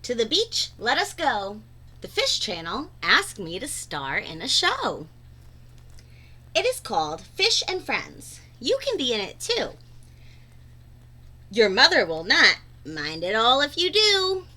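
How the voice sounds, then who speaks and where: Bright-voiced woman reading to children, very animated. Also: fairly high pitch, slow. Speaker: read-aloud storyteller. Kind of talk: children's picture book read-aloud.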